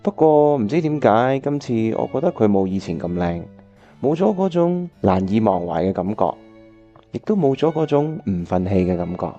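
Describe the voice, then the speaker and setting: A man's voice: medium pitch, big pitch swings, moderate pace, mellow, dull audio, soft background music. A man playing an earnest, reflective bachelor in a Cantonese radio drama, with a Hong Kong accent. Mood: wistful. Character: earnest, reflective bachelor looking back on old love.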